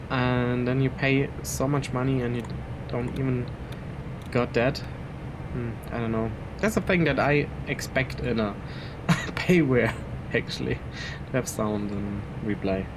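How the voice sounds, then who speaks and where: A man's voice: medium pitch, noisy background. Chatty young man with German roots. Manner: rambling and chatty, chuckling often between thoughts. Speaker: flight simulator streamer. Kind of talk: casual gameplay commentary. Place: home room at his PC.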